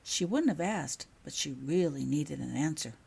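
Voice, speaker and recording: A woman's voice: deep voice, expressive, normal speed, vocal fry, darkish tone, slightly rough. A woman reading her own written story aloud as scripted audiobook narration.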